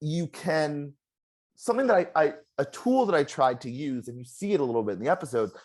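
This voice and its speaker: Animated, loud-voiced game contestant. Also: medium pitch, fairly quick. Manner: animated, self-deprecating storyteller. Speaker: eliminated contestant, juror. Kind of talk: casual exit-interview chat.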